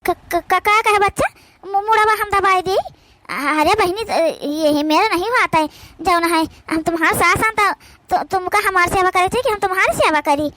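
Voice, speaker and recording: A woman plays a put-upon old village mother-in-law from a comedy cartoon. The voice is extremely high, with an Awadhi accent. She pleads and grumbles plaintively. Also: normal speed, sharp tone, a little hiss or hum. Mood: flustered, pleading.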